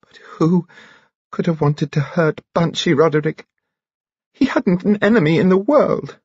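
A man's voice: fairly high pitch, animated, measured pace, darkish tone, breathy edge, no room sound, dull audio, pitched above the performer's own voice. A man voicing a grieving upper-class lady in an audiobook, plaintive and polite. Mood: tearful.

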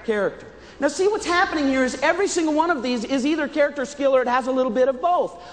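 A man's voice: very high voice, quick pace. A middle-aged American man giving an interactive keynote speech, projecting emphatically to his audience. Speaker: motivational keynote speaker. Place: stage in a large indoor conference hall. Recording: reverberant large hall.

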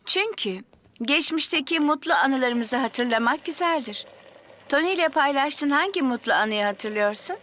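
An actress voicing a kind, gentle grandmother in a dubbed cartoon, speaking softly and reassuringly. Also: high voice, lively intonation, normal speed, slight background noise. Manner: soft, reassuring, coaxing. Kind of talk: cartoon dubbing.

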